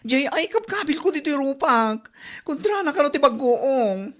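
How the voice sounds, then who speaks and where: A woman with an Ilocano accent playing a shy, secretive young woman who speaks softly and hesitantly. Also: sits high, big pitch swings, normal speed. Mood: evasive. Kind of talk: comedy drama acting.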